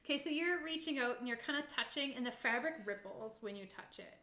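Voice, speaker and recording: A woman's voice: fairly high pitch, expressive, fairly quick, sharp tone. A woman narrating as game master of a tabletop roleplaying game in a casual, improvised, easygoing way. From Canada. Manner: giggly, easygoing, conversational. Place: indoor room with other players. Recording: roomy, echoing room sound.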